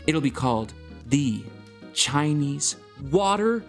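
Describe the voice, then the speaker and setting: An actor playing an anguished, driven escape artist in a stage musical, in speech and song. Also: male voice, on the high side, big pitch swings, slow, exaggerated voice. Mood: driven, excited. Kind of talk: musical theatre singing and dramatic acting. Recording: music under the voice.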